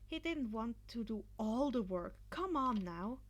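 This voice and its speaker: A woman's voice: fairly high pitch, animated, unhurried. A woman reading fan fiction aloud as an amateur narrator of a scripted story.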